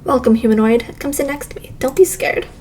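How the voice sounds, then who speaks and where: A woman voicing a polite, protective feminine robot in a measured, formal way while reading a game aloud. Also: high voice, fairly even intonation, a little mellow, a little hiss or hum, natural voice. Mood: welcoming, protective.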